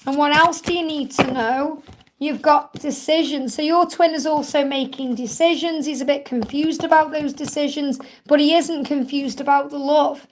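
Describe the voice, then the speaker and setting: A woman with a slightly croaky voice hosting a live spiritual card-reading stream, gently reassuring viewers and calling them honey. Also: high-pitched, fairly even intonation, moderate pace, slight background noise, low-quality recording.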